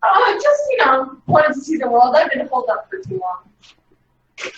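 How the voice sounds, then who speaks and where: A playful woman player talks in character at a tabletop game, her voice high and swinging widely. She is picked up from across an echoey room. The sound is dull and muffled. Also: a little slow, slight background noise. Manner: playful, animated. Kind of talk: casual in-character play. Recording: far-off echoey dull mic.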